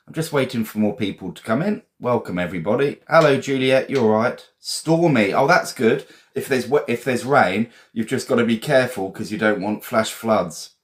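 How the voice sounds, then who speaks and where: A man with an English accent and a slightly low voice leads a live vocabulary class. He talks casually and informally, like a teacher chatting with learners. His manner is encouraging, and he often says a phrase twice. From England.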